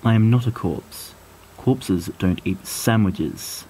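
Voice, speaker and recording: A man voicing a sensible, hesitant, bookish teenage schoolboy in an audio story, with a dry and measured manner. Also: fairly low pitch, warm tone, breathy edge, exaggerated voice, low-quality recording. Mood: dry, shrugging. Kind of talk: amateur audio fan fiction reading.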